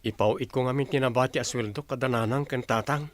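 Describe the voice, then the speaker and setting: A man's voice: medium pitch, steady tone, a little fast, a little hiss or hum, close to an everyday voice. A man acting a polite, uneasy young boarder in an audio drama, respectful, with a Filipino Ilocano accent.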